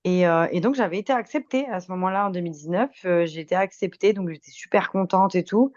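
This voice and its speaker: Lower-voiced young Frenchwoman, slightly dull audio. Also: fast talker, darkish tone, dry recording. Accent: French from France with slight Québécois tinge. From France. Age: 20s. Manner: chatty, good-humored, giggly. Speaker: podcast guest. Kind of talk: casual chat, telling anecdotes.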